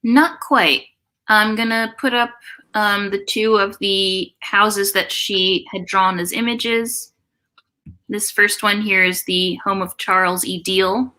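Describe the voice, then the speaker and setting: A woman's voice: mid-range voice, steady tone, takes it slowly, sharp tone. A woman co-hosting a livestream in a casual, chatty and informal way. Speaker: livestream co-host.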